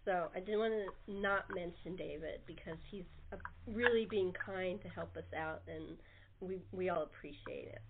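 A woman's voice: fairly low pitch, normal speed, a little hiss or hum. Woman hosting over a phone-like line. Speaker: talk show host. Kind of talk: casual interview chat. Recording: phone-like narrow band.

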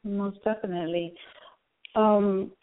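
A woman's voice: medium pitch, fairly even intonation, slow pace. Woman interviewer on a phone-like line. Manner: chatty, informal, often says "you know". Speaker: interviewer, show host. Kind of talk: casual radio interview. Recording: phone-like, band-limited radio line.

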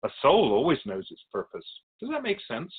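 A man playing a calm otherworldly spirit guide in a radio drama, explaining things in a measured, quizzical way as an interview guest. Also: mid-range voice, slightly rough, phone-quality sound. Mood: patient teaching. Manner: measured, explanatory, quizzical about human speech.

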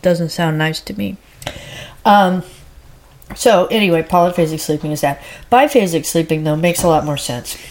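A woman's voice: fairly low pitch, darkish tone. A woman hosting a casual solo podcast, a little stuffy from a head cold, chatty and rambling with lots of fillers. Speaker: podcast host.

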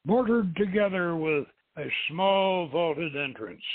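A man's voice: a little high, expressive, slow pace. A man reading an adventure story aloud as a dramatic narrator and host. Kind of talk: dramatic audiobook-style narration. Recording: tinny band-limited radio stream, roomy echo.

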